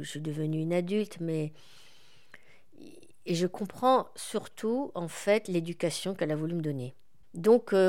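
A woman in her forties, a guest in an interview, speaking reflectively with many pauses and fillers. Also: fairly low pitch, darkish tone. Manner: reflective, hesitant with frequent fillers. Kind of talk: conversational interview.

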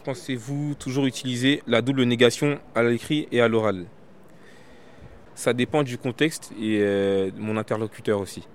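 A man's voice: medium pitch, fairly even intonation, normal speed, slight background noise. A man reading out questions and answering them as an interviewee, in a casual, hesitant way.

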